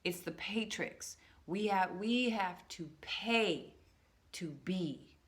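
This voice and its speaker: A woman talking to her viewers in a casual, rambling monologue, slowing down to stress words and restarting her sentences often. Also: mid-range voice, a bit bright. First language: English. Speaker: vlogger.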